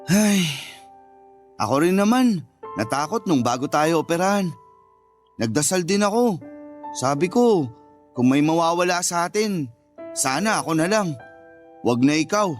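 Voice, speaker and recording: Gentle schoolteacher younger brother: high male voice, earnest, affectionate, light music bed. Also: animated, unhurried, pauses often. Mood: selfless, loving. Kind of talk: sentimental radio drama dialogue.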